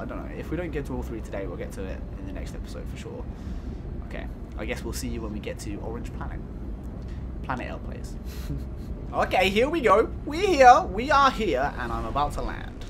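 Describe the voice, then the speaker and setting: A young man doing let's-play commentary, giggling often, croaky today, mumbling to himself one moment and shouting with excitement the next. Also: mid-range voice, noisy background. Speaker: gaming YouTuber.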